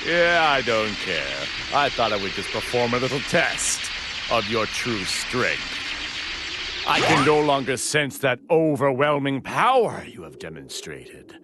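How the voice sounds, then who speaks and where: A man's voice: mid-range voice, sing-song, a little slow, very noisy. A man voicing a smug, sadistic villain in an anime game, with a sneering, mock-polite, theatrical drawl. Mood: disdainful. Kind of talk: dramatic anime game voice acting. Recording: loud music behind the voice.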